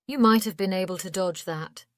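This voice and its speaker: Woman acting a clear-voiced feisty young warrior. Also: mid-range voice, normal speed, no room sound, clean studio sound, natural voice. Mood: defiant, bantering. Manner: defiant, challenging. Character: feisty young warrior woman, defiant little sister with visions. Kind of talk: audiobook character acting.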